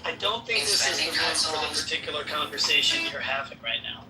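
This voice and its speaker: A man with a slightly high voice calmly steers a chat room conversation back on track. He sounds off-mic in a roomy space, with loud music playing behind him. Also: brisk, distant mic.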